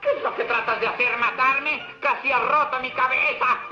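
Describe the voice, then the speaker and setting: A man's voice: extremely high pitch, steady tone, normal speed. A man playing a cocky, wisecracking trickster rabbit in a Latin American Spanish cartoon dub, with a brash, mock-dramatic delivery. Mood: cheeky, indignant. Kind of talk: theatrical cartoon dub acting. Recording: dull old cartoon soundtrack, roomy, music.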